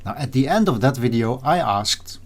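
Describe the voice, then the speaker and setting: A man hosting a hobby video, narrating in a measured, explanatory way and addressing viewers directly. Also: medium pitch, expressive. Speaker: host of an audio hobby channel. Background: background music.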